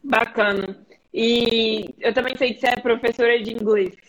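A young woman in her twenties with a Mineiro accent hosts a live-streamed interview. Her voice sits a little higher than most. She explains things in a friendly, fluent way. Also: fairly even intonation, moderate pace, a little hiss or hum, poor audio. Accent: Mineiro Brazilian Portuguese. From Belo Horizonte, Brazil. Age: 20s. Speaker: host. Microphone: home setup, streamed live.

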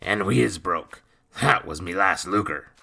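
A man putting on a swaggering rogue with a rough lilt, gravelly and bright-edged. His talk swings up and down with lively, scheming confidence, loud and pleased with himself, like a storybook thief. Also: low voice, measured pace, heavily acted. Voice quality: gravelly, swaggering, ungrammatical dialect. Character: roguish scheming male thief, cocky, adult.

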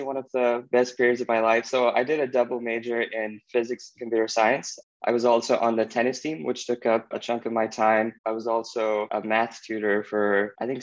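A man in his thirties from Pakistan, a startup co-founder, answering interview questions in a warm, chatty way with small restarts. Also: fairly low pitch, lo-fi sound.

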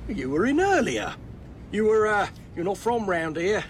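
Unhinged high-voiced vengeful Englishman. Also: very animated, moderate pace, slight background noise. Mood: manic, giggly. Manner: muttering threats between polite small talk. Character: vengeful, unhinged male villain, oddly affable. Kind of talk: comic radio drama acting.

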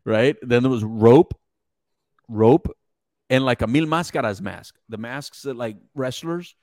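A man whose first language is Spanish tells a story with animated, slangy energy in his mid-range voice. His voice is recorded close and dry, with no room around it. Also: mid-range voice, a little slow, no room sound. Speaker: podcast co-host. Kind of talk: casual conversation.

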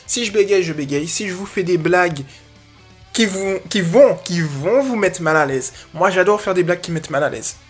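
A man's voice: high-pitched, moderate pace, faint music behind. A man hosting a casual, improvised podcast as a dog trainer, chatting informally and playfully with slang and the odd English interjection.

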